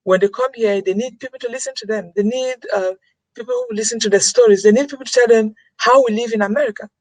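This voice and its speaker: A woman's voice: on the high side, quick pace, no room sound, rough recording. A woman giving an earnest webinar talk, repeating key phrases for emphasis over a computer mic. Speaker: webinar presenter.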